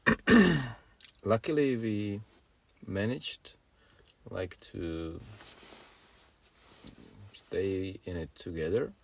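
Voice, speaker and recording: Slow, low-voiced Czech man on a podcast. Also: gravelly, a little hiss or hum. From Czech Republic. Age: 40s. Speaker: podcast co-host. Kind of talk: casual podcast conversation.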